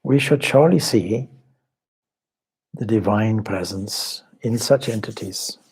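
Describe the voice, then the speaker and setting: Husky, slow-spoken man leading meditation. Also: on the low side, darkish tone, rough recording. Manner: measured, contemplative, with long pauses. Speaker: swami leading guided meditation. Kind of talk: guided meditation.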